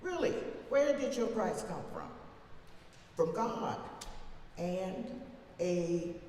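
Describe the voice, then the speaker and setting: Woman, theatrical reciter of a defiant old-time oration, slow and sweeping in pitch, distant in a boomy hall. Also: sing-song, mellow. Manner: emphatic, theatrical, with long deliberate pauses. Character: formerly enslaved older woman orator, plain-spoken, defiant, wry. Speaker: reader performing a historical speech. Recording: very echoey hall from far off, faint noise.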